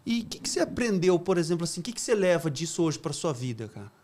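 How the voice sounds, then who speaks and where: Male podcast host, casual and jokey, quite high-pitched. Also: big pitch swings, fast talker, a little mellow. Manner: slangy, jokey, says "cara" a lot. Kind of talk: casual chat.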